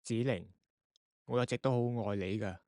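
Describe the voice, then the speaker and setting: A man's voice: mid-range voice, steady tone, normal speed, a little mellow, dry recording, studio quality, close to an everyday voice. A man playing an earnest, guilty, evasive husband in a radio drama. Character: guilty, evasive husband confessing his secrets. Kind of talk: Cantonese radio drama acting.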